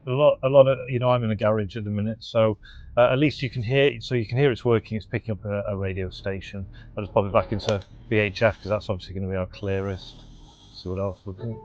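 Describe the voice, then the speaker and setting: A man's voice: lowish voice, steady tone, quick pace, a little mellow, muffled recording, a little hiss or hum. A British man giving an informal, unscripted hands-on product demo and review, explaining as he goes, recorded on a body-worn mic in a garage. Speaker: host of retro gadget restoration channel, demonstrating a product. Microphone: body-worn microphone.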